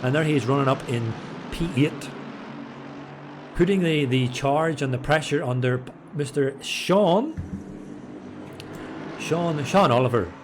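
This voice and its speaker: A man giving chatty, excitable live commentary on an online race, stumbling over drivers' names. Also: mid-range voice, measured pace, long pauses, slight background noise. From Ireland. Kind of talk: live sim racing commentary.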